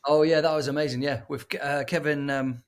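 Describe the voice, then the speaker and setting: A man's voice: a little high, fairly even intonation, fairly quick. A man co-hosting a casual film podcast, enthusiastic and often fumbling for actors' names. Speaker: podcast co-host. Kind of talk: casual chat.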